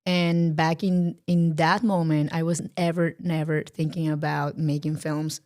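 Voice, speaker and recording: A young Colombian woman speaking English with a Colombian Spanish accent, talking in a halting way with many fillers as she searches for words in a casual interview. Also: low voice, little pitch movement, a little mellow. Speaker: podcast guest, filmmaker.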